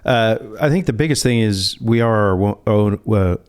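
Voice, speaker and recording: A man's voice: fairly low pitch, moderate pace, darkish tone, clean tone. A man speaking as a financial advisor in a conversational interview, explaining step by step and posing rhetorical questions.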